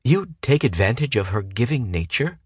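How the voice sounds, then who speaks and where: A man voicing a sharp-tongued, sarcastic young woman with a mocking way of talking. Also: medium pitch, very animated, moderate pace, breathy edge, no room sound, pitched above the performer's own voice. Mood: sassy. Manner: sassy, mocking.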